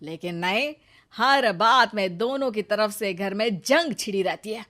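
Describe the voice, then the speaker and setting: A woman's voice: mid-range voice, a little slow, fairly bright tone, close to an everyday voice. A woman voicing an exasperated young married man who complains wearily about the fighting at home. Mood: fed up. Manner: weary, complaining inner monologue. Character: exasperated young married man caught between mother and wife. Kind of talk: cartoon story voice acting.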